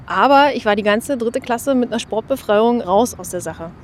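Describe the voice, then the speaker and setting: A woman's voice: a little high, normal speed, a little hiss or hum. A woman in her forties answering interview questions in a matter-of-fact, reflective way, recorded outdoors. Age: 40s.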